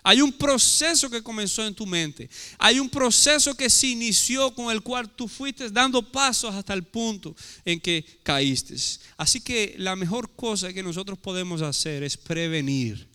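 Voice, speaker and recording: A man's voice: sits high, expressive, measured pace, few pauses, bright, forceful tone, clear, resonant tone. A man with a Portuguese-tinged accent preaching a sermon as pastor, emphatic and full of rhetorical questions. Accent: Portuguese-tinged Spanish. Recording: echoey hall reverb.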